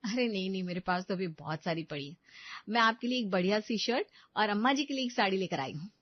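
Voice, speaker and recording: A woman's voice: mid-range voice, lively intonation, fast talker, dry recording, muffled recording, lightly acted. A woman voicing a kindly, coaxing middle-aged wife and mother in a moral story. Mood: cheerful.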